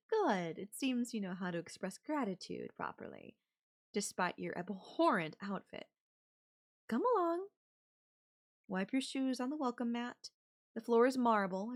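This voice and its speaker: A woman performs a rich, domineering female chief executive for an audio roleplay. Her voice sits at a medium pitch for a woman. She speaks formally and imperiously, with cold condescension, as someone used to being obeyed. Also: sing-song, measured pace, long pauses. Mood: haughty, condescending. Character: controlling, haughty, wealthy female CEO, coldly condescending. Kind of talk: ASMR audio roleplay acting.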